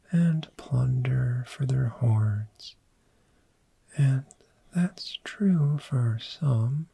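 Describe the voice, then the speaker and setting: A performer voicing a friendly, soothing dragon in an ASMR roleplay, speaking in a gentle, breathy whisper and lingering on repeated words. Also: mid-range voice, exaggerated voice. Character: friendly soothing dragon therapist showing a cozy hoard.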